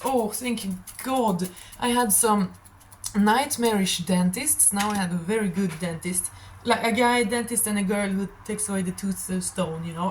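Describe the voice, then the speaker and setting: A young woman, non-native English speaker, with a slightly raspy voice, chatting casually and telling stories in a scattered, animated way as she hosts a tarot reading. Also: mid-range voice, a little hiss or hum. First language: not English. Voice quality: a little raspy. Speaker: tarot reader hosting a stream. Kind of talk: casual rambling monologue.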